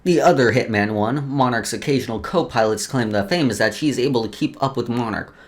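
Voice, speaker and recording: A man's voice: medium pitch, fairly quick. A man hosting a let's play, reading game lore aloud with casual asides and often stumbling over words before restarting.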